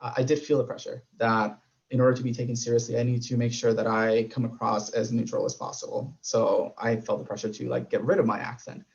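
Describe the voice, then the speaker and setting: A Spanish-native man from Puerto Rico speaks in a level, even tone, pausing often to gather his thoughts. His voice comes through a dull, lo-fi video call line. He is a panelist sharing something personal. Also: mid-range voice, creaky. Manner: thoughtful, hesitant with many fillers. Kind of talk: personal reflection in a panel discussion.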